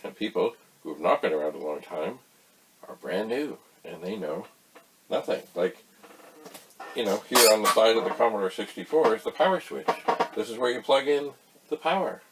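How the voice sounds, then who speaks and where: A man giving a casual, folksy explainer, rambling through anecdotes with emphatic pauses. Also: fairly low pitch, expressive, noisy background. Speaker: host of a retro computer tutorial channel.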